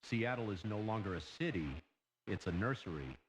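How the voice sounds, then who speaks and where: A man playing a grim scientist giving a measured, explanatory briefing to a president in a horror animation. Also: lowish voice, lively intonation, slight background noise, close to an everyday voice, poor audio. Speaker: scientific adviser.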